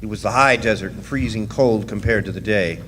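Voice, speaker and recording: A man's voice: lowish voice, brisk, a bit bright, close to an everyday voice. A man playing a plainspoken copper miner from 1917, recounting his story in a live radio drama. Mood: reflective, recounting. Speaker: actor narrating a radio drama. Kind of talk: first-person radio drama narration and dialogue. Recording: noisy live stage radio play recording.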